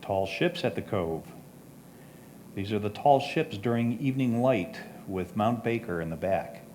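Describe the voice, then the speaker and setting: A man's voice: lowish voice, animated, unhurried, darkish tone. A man reading photo titles and short captions aloud for a presentation. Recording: clear background noise, room ambience.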